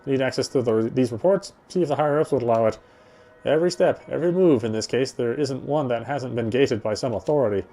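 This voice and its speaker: A man's voice: mid-range voice, fast talker, dark tone. A man reading first-person visual novel narration aloud in a conversational way, now and then stumbling and repeating himself.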